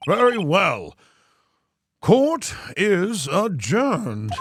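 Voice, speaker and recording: A man voicing a stern elderly courtroom judge from a video game, speaking in measured, formal pronouncements. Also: sits high, huge pitch swings, fairly bright tone, breathy edge, full character voice. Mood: solemn. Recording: music playing behind the voice.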